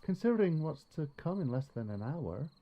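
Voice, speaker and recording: A man's voice: on the high side, sing-song, brisk, dark tone, lo-fi sound. A man playing a teasing, level-headed young male pony in an audio story.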